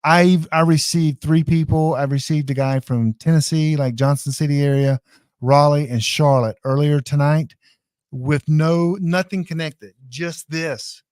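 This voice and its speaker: A man's voice: a little high, fairly even intonation, unhurried, a little mellow, clear, resonant tone, no room sound, studio quality. A man giving a casual, hands-on live tutorial in a folksy, informal way, speaking straight to his viewers. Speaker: online class presenter demonstrating radio gear. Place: indoors.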